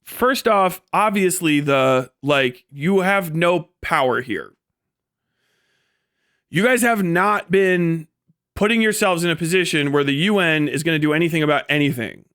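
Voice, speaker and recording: A man livestreaming an opinionated, off-the-cuff rant, blunt, sweary and sardonic, acting out imagined exchanges in an animated way. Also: fairly high pitch, a little slow, clean tone, dry recording, clean studio sound. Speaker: livestream host.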